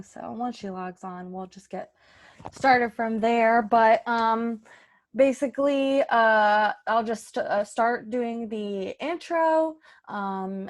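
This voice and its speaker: A woman talking casually as a podcast host, organizing her cast in a breezy, informal way. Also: on the high side. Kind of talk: casual chat.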